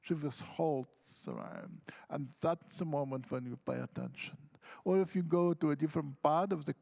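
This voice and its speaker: Guy, really gravelly fry, kinda high pitched, talking poetry craft with lots of examples. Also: sing-song, moderate pace, warm tone. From Ukraine. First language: Russian. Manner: earnest, explains with examples and questions to himself. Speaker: poet on a panel. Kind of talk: reflective talk on poetry craft. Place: conference hall stage.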